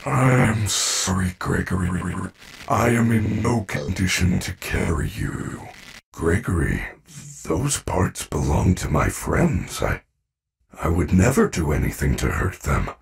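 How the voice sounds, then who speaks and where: A man's voice: low-pitched, sing-song, slow pace, vocal fry, breathy edge, full character voice. A man voicing a friendly, protective animatronic bear in a video game, speaking earnestly in formal, precise phrases. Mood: apologetic, sorrowful. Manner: formal, precise diction without contractions, earnest. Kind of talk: video game character acting.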